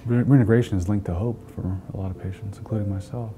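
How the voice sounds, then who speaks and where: A man speaks haltingly and reflectively in an interview, his voice flat and fairly low. Guitar music is clearly heard behind him. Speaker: psychiatric hospital patient. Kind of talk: documentary interview.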